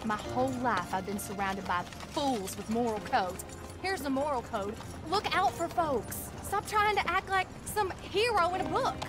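A woman's voice: high voice, animated, normal speed, over music. An actress playing a firm, plain-spoken frontier wife and mother with an American accent in a western game, direct and folksy. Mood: scolding, wry. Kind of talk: cinematic western game dialogue.

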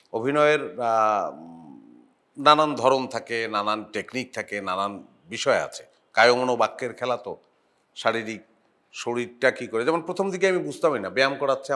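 A middle-aged man, an actor guesting on a talk show, talking in a reflective, halting way, often pausing on "ah" and letting his phrases trail off softly. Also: mid-range voice. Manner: reflective, halting with frequent "ah" pauses. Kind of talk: casual interview chat.

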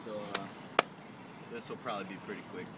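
A man's voice: sits low, fast talker. A young man hosting his own fishing video on a waterside dock, talking casually and cheering his buddy on. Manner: laid-back, turning into loud excited cheering when a fish bites. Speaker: fishing guide and show host. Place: outdoors on a boat dock by the water. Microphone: camera some distance away. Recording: distant camera mic with loud boat and water noise.

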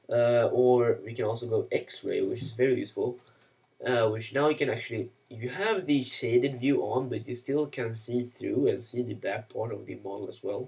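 Creaky-voiced man rambling through a tutorial. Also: fairly low pitch, a little mellow, distant mic. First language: Swedish. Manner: rambling, self-mocking asides, many "uh" and "you know". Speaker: software tutorial maker. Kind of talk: casual screen-recorded software tutorial.

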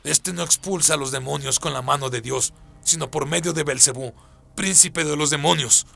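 Accusatory religious leader acted by a man, raised pitch, loud, radio drama, faint ambience. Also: normal speed, creaky, a little hiss or hum, heavily acted. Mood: indignant. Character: indignant, accusing male Pharisee elder.

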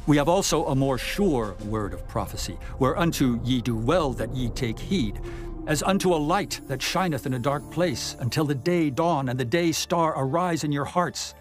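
A man giving a dramatized scripture reading in a solemn, measured manner with emphatic phrasing. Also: mid-range voice. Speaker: Bible narrator. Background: background music.

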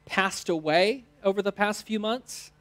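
A man's voice: sits high, slow, a bit bright. American man preaching in short phrases. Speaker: teaching pastor. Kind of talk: sermon in short phrases for live interpretation. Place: church stage, indoors.

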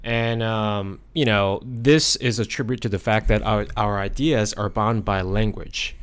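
Man from Korea, thirties, podcast co-host, thoughtful, halting with fillers, studio mic. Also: fairly low pitch, fairly even intonation, smooth. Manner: thoughtful, explains with many fillers. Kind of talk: casual podcast conversation. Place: studio room. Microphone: studio mic on desk stand.

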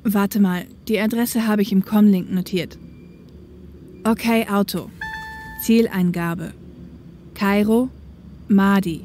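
A woman's voice: medium pitch, steady tone, slow pace, long pauses, mellow, faint music behind, close to an everyday voice. A woman playing a streetwise young woman driver, wry and dreamy, in a German audio drama. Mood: matter-of-fact.

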